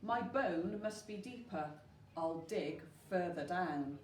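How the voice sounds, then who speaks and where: An eager, polite storybook dog, voiced by a woman reading aloud, speaks in simple rhymes. The pace is unhurried, and the voice sits in a roomy space, a little away from the mic. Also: fairly low pitch, lively intonation. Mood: disappointed, determined. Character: eager, polite, bone-hunting cartoon dog. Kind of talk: rhyming children's storybook read aloud. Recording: roomy, distant mic with faint noise.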